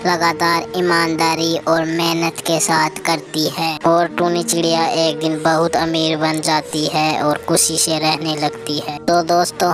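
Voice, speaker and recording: Storyteller narrating over music. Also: mid-range voice. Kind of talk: scripted children's story narration. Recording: music behind the voice.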